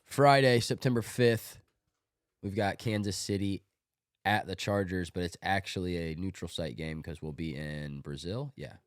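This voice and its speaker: Male podcast host, low voice, slightly congested, dry close sound, offhand joking read. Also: moderate pace, clean tone, no echo. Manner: offhand, joking, talks through his picks. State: congested from a cold. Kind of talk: casual sports podcast monologue.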